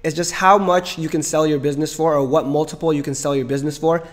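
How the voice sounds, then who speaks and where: Energetic young man talking business. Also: fairly high pitch, fast talker. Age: 20s. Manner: energetic, emphatic, persuasive. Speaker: entrepreneur vlogger. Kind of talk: direct-to-camera business vlog.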